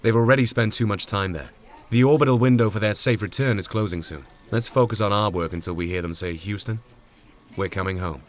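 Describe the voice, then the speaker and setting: A man playing a wry, steady mission control supervisor in an audio drama, with a dry, composed manner. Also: on the low side, brisk, natural voice. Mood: reassuring. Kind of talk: naturalistic audio drama acting.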